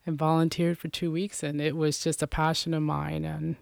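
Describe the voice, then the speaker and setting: A woman's voice: low voice, level tone, moderate pace, creaky, darkish tone, dry recording. A woman from Puerto Rico, a guest in a casual faith interview, talking in a chatty way with many fillers.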